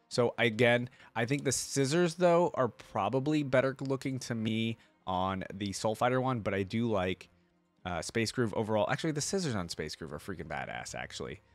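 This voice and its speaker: A man hosting a casual reaction video, rambling and thinking out loud with enthusiasm. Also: lowish voice, no echo. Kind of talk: casual reaction commentary, reading aloud.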